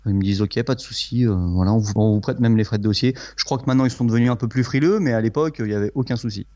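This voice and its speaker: A man in his thirties, a guest investor, who answers interview questions in a plain-spoken, frank and somewhat halting way. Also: lowish voice, a little mellow. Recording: dull lo-fi sound.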